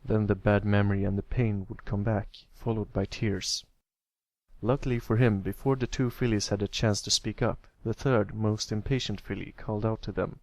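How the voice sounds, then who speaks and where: A man's voice: lowish voice, fairly even intonation, normal speed, darkish tone, no echo. A man reading a story aloud as an amateur narrator, in scripted storytelling style. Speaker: amateur fan fiction narrator.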